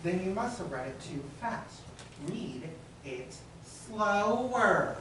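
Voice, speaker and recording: A woman's voice: medium pitch, sing-song, slow, fairly bright tone. A woman playing a frantic, whining petitioner. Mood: accusing, shrill. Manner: whining, theatrical, insistent. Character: frantic complaining woman pleading at a bank. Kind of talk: stage play acting. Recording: roomy hall from far off, faint noise.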